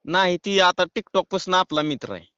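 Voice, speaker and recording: A muffled, dry phone recording of a man with a rural western Maharashtra accent. His voice is fairly high and clear, and he talks casually with halting, hesitant phrasing. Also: expressive, bright, forceful tone, no room sound. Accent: rural western Maharashtra Marathi. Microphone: phone call.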